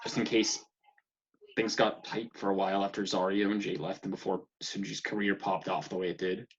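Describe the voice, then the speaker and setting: A man's voice: deep voice, flat delivery, a little fast, breathy edge. An actor playing a sulky, negative young male housemate in a comedy script read over a video call. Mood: confessional. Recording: muffled, lo-fi video-call audio.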